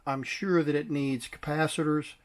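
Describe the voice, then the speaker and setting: A man casually demonstrating and explaining a vintage device, unhurried and pausing to search for his words. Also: a little high, steady tone. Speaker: vintage tech collector presenting a device.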